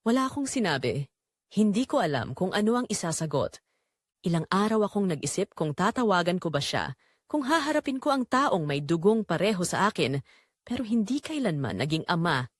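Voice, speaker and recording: A woman's voice: fairly low pitch, sing-song, a little fast, no echo, studio quality. A woman playing a remorseful, wounded young woman, narrating her confession earnestly and emotively in an audio drama with a Filipino accent. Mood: reflective, tender. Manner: earnest, reflective, emotive delivery. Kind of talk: scripted first-person dramatic narration in Tagalog audio drama.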